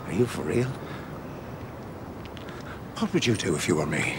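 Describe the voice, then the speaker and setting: Older Dublin dad, bitter and grieving, wildly swinging pitch, gritty voice, noisy background. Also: male voice, very animated, exaggerated voice. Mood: angry, confronting. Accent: Dublin Irish. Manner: intense, halting, accusing. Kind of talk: television drama acting.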